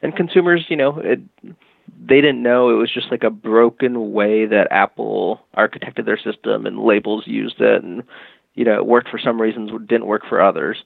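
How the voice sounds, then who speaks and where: A man with a low, gravelly voice explains his work as a podcast guest, steady and full of fillers. The sound is narrow and phone-like, and the space around it is dry and close. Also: dry recording. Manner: measured, explanatory, with frequent fillers. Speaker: agency head, podcast guest. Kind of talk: casual interview talk. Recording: tinny phone-like line.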